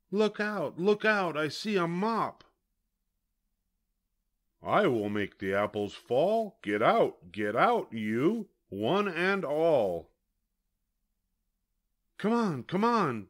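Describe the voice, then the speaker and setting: An older man reading a children's picture book aloud in a slow, sing-song way, with sudden high, excited bursts. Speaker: storybook reader.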